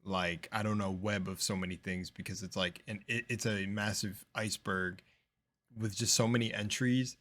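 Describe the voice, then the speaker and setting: Young man, slight lisp, low voice, rambling reaction chatter, fillers, loud then mumbling. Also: steady tone, moderate pace. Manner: rambling, filler-heavy, drifting between loud bursts and mumbles. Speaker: reaction video host. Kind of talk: casual rambling reaction commentary.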